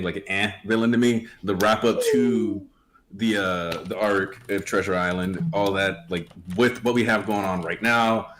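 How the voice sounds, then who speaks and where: A man's voice: on the low side, a bit bright, slight background noise. A man in his late twenties in a casual panel chat, rambling and full of "like" fillers. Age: 20s.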